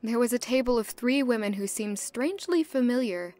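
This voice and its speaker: Young female castle servant played by a woman, slightly high-pitched, nervously musing storyteller for a fantasy audio drama. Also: expressive, a little fast, a little mellow, soft background music, lightly acted. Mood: reflective. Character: young female castle servant, anxious, curious, chatty narrator. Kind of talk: first-person audio drama narration and dialogue.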